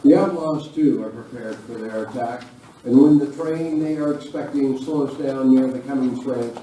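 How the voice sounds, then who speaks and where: A man's voice: mid-range voice, moderate pace, warm tone. A man narrating a live Western radio play in a scripted storytelling style. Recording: very echoey, distant live hall recording, noisy.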